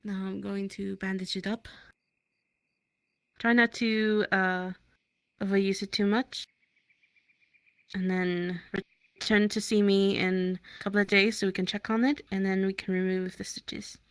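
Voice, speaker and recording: A woman's voice: medium pitch, little pitch movement, unhurried, pauses often, clear, resonant tone, dry recording. Woman roleplaying a matter-of-fact medic, dry sound. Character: town medic tending a wounded hunter. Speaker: roleplayer playing a town medic. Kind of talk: improvised roleplay dialogue.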